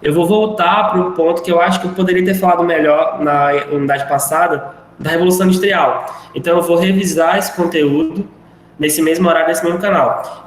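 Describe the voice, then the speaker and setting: A male teacher wrapping up a live online class in a chatty, rambling way. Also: high-pitched. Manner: rambling, chatty, self-deprecating. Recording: very echoey room, faint noise.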